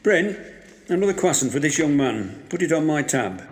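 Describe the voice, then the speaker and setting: A man's voice: fairly high pitch, sing-song, normal speed, a little hiss or hum. An actor playing a wry, guarded widower in his sixties with dry, curt, formal delivery in an audio drama. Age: 60s. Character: gruff, wry 67-year-old widower, guarded and grieving.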